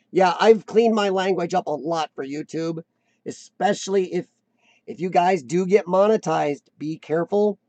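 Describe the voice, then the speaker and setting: A man's voice: high-pitched, unhurried, a little mellow, no echo. A man hosting a casual live-stream chat, rambling and self-deprecating, often restarting his sentences. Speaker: host of a live chat show.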